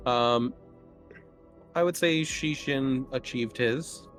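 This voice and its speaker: A man with a middle-pitched voice runs a tabletop roleplaying game. He narrates casually and hesitantly, feeling his way through each explanation. Faint music plays underneath. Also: fairly bright tone. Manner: hesitant, halting delivery. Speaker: game master.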